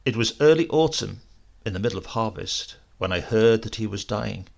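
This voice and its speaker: Low, creaky-voiced male storyteller. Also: expressive, normal speed. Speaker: audiobook narrator. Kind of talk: literary scripted narration.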